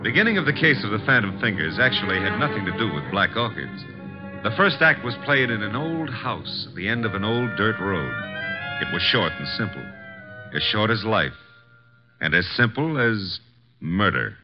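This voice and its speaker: An actor playing a breezy, sardonic detective's assistant and narrator in a 1950s American radio drama, slangy and teasing. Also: male voice, fairly low pitch, sing-song, normal speed, over music. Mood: hardboiled narrating. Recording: dull, noisy 1950s radio recording.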